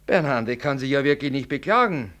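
A man's voice: mid-range voice, lively intonation, moderate pace, fairly bright tone, close to an everyday voice. An actor in a radio drama, playing an outwardly amiable male doctor who talks politely, with ingratiating insinuation. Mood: defensive, insinuating.

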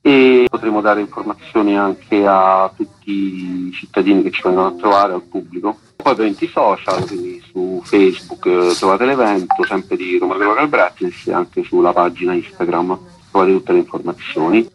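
A man's voice: low voice, fairly even intonation, normal speed, husky, lo-fi sound. A man speaking informally as a guest in a radio interview. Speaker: festival artistic director, interview guest.

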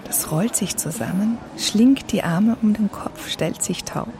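A woman's voice: mid-range voice, unhurried, creaky, background noise. A woman giving reflective first-person narration and chatting in the street, with a playful, poetic manner. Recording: music and street sounds behind.

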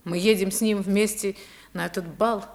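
A woman's voice: mid-range voice, steady tone, moderate pace, natural voice. An actress playing an anxious, devoted society wife and mother in a radio play, earnest and theatrical. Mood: tender, composed.

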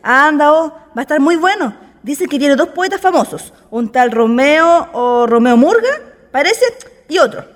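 In a reverberant hall, a woman acts out an excitable young Chilean woman with a very high, swooping voice. She talks in colloquial, exclaiming bursts, as an eager radio play friend. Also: normal speed. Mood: eager, chatty. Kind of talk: amateur radio play acting.

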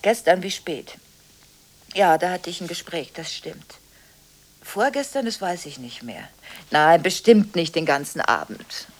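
A restless, volatile headmistress on the phone. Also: female voice, lowish voice, lively intonation, a little slow. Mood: flustered, defensive. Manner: conversational, restless, breaking off and repeating herself. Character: volatile, contradictory school headmistress on frantic phone calls. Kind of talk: radio drama monologue of phone calls.

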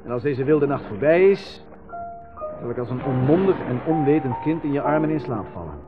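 A man's voice: medium pitch, animated, brisk, close to an everyday voice. An actor playing a self-mocking romantic loser who declaims grandly to his beloved in a 1970s radio drama. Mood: amorous, grandiose. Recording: slightly dull old radio recording. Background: prominent music.

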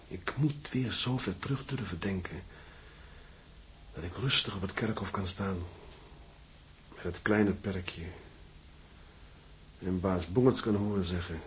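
An actor playing a broken, brooding imprisoned sea captain in a Dutch audio drama, halting and haunted, repeating himself. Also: male voice, low-pitched, slight background noise, poor audio.

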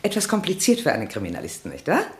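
A woman playing a poised, faintly mocking society lady in a German crime radio play, answering with arch, expressive composure. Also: rumbling low, very fast, heavily acted. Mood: arch, composed.

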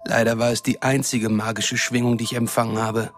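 An actor playing a cruel, condescending shapeshifter villain in a radio drama, taunting and threatening in a smooth-talking way. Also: male voice, mid-range voice, little pitch movement, a little fast, soft background music, close to an everyday voice. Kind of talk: German radio drama acting.

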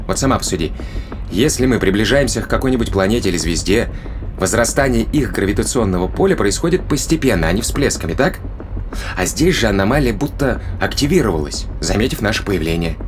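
Male crewman: low-pitched, brisk, sardonic explainer for sci-fi audio drama, colloquial, ambient noise underneath. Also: big pitch swings. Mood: wry, explanatory.

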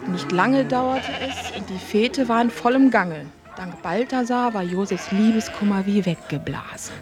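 A woman's voice: medium pitch, unhurried, close to an everyday voice. A woman narrating a comic radio play as a scripted story. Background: music.

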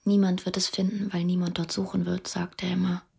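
Husky, breathy young woman. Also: lowish voice, little pitch movement, warm tone, no room sound, studio quality, exaggerated voice. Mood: hushed, secretive. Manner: short, simple, halting sentences. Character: maimed young Afghan woman, hushed, plain-spoken survivor. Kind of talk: radio drama acting.

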